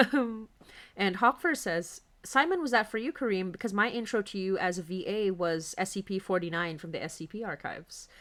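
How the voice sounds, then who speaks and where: A woman's voice: medium pitch, normal speed, a bit bright. A woman hosting an informal livestream chat, agreeable and conversational as she reads out comments. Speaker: podcast host.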